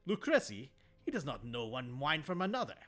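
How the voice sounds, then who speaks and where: A man's voice: medium pitch, big pitch swings, fairly quick, a bit bright, faint music behind, pitched above the performer's own voice. A man doing a put-on Italian accent to voice a boastful, tipsy wine lover who scoffs at others. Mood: scoffing.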